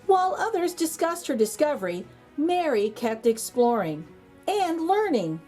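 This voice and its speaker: A woman reading a children's picture book aloud as a storytime host, theatrically, with dramatic pauses and emphasis. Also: high voice, sing-song, darkish tone, soft background music.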